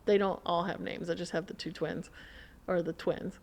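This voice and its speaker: A woman's voice: on the low side, little pitch movement, normal speed, vocal fry, darkish tone. An American woman chatting casually on a podcast in a dry, offhand way. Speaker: podcast guest or co-host. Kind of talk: casual chat.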